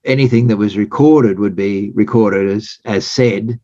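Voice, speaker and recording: Low-voiced Australian man, dry and muffled. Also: mellow, dry recording. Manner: measured, hesitant, many uhs. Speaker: guest. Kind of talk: casual interview chat. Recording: dry, dull lo-fi sound.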